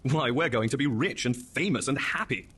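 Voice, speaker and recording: A man's voice: medium pitch, expressive, moderate pace, fairly bright tone, breathy edge. A man playing a flippant young son who teases with mock drama in a horror audio reading. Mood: teasing. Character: cheeky young adult son, teasing his parents.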